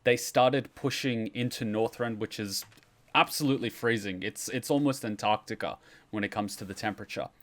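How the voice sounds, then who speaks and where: A man's voice: fairly low pitch, normal speed, fairly bright tone. A man hosting a reaction stream, giving casual explanatory commentary. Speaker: host of a game lore reaction stream.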